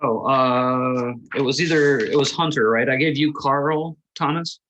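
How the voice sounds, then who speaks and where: A man leading a table read on a video call, talking casually and haltingly in a reassuring, informal way. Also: medium pitch, highs cut off, noisy background. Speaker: film production lead running a table read.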